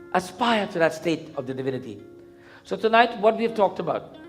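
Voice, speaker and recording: High-voiced Guyanese man preaching, music behind. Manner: didactic, questioning, deliberate repetition. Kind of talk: interactive sermon. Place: live event in a temple before a congregation.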